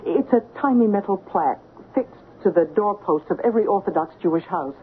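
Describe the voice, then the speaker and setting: An actress playing a sharp, earnest, resolute household assistant in a 1970s radio drama. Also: female voice, medium pitch, a little fast, slightly rough, phone-quality sound, lightly acted. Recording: thin, faintly noisy 1970s radio broadcast.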